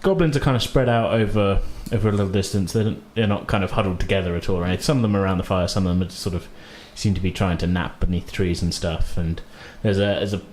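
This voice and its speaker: A man's voice: low voice, steady tone, fast, hardly any pauses, a little mellow. A man running a tabletop game, improvising descriptions in a loose, colloquial, hesitant way. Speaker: game master. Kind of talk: improvised tabletop game narration and casual chat. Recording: roomy echo, faint background noise.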